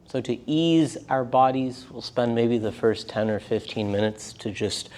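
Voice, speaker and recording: Unhurried man guiding a yoga class. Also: medium pitch, fairly even intonation. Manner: unhurried, gentle phrasing in short pieces with pauses. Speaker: yoga and meditation teacher. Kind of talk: guided meditation class instruction. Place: live class in a yoga studio.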